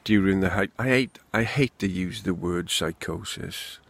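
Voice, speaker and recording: Slow-talking welsh guy, gravelly voice, frank unscripted monologue. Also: sits low, a bit bright. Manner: rambling, candid, swearing freely. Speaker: vlogger. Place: outdoors in the wind.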